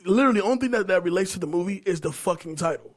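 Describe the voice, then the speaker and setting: A man's voice: sits high, expressive, very fast. A man hosting a casual podcast chat, talking in slang with frequent swearing.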